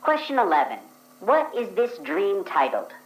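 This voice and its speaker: A woman playing the reader of a dream questionnaire, asking each question in a crisp, procedural way. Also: mid-range voice, huge pitch swings, unhurried, rough recording. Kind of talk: actual play, reading scripted questions.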